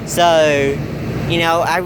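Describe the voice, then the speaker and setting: A young man vlogging outdoors on his phone, slightly hoarse from losing his voice, rambling with lots of fillers and pauses. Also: on the high side, flat delivery. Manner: rambling, halting, full of fillers. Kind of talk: casual rambling vlog. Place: outdoors near a bus loop on a windy day. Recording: loud wind noise outdoors.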